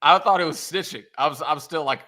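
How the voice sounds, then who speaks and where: Bright-voiced man with a wordy, hedging style. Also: fairly high pitch, fairly quick. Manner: wordy, academic phrasing, hedging. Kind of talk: casual debate.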